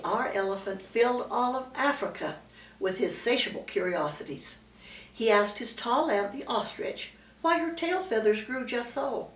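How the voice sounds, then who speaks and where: Older female storyteller, read-aloud picture-book style, emphatic delivery; distant mic, echoey room. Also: mid-range voice. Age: older, great-grandmother. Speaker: storyteller reading to a child. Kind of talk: read-aloud children's storybook narration with asides. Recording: roomy, distant mic, faint background noise.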